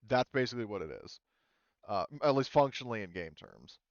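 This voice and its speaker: A man acting as game master, casually explaining rules and thinking aloud in a halting way. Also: medium pitch, expressive, clean tone, dry recording, lo-fi sound. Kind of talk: casual tabletop game narration and rules talk.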